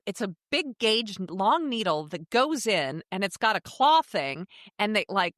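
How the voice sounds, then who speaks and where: A woman's voice: mid-range voice, very animated, a little slow, a bit bright, no room sound, studio quality. An American woman in her forties telling a personal story as a podcast guest, frank and casual, acting out dialogue and swearing now and then. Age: 40s.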